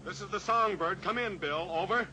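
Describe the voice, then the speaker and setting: An actor playing a steely heroic cowboy pilot in an old-time radio drama, measured, taunting and authoritative. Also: male voice, high-pitched, natural voice. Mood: urgent, businesslike. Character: steely heroic cowboy pilot, coolly pressuring a captive killer. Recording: noisy old radio recording, engine drone.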